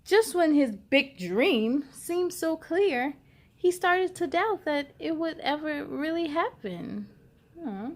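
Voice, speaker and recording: A woman hosting a children's story time, reading aloud expressively with drawn-out words and talking directly to young listeners. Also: sits high, expressive, slight background noise.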